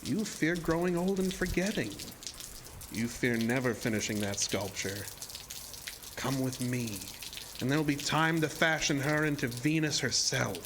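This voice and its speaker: A man's voice: medium pitch, lively intonation, unhurried, noisy background. A man playing a sinister, seductive illusionist showman in a horror audio drama, speaking in a theatrical, formal and insinuating way. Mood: persuasive. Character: sinister, seductive showman-illusionist, deceptively ageless male villain.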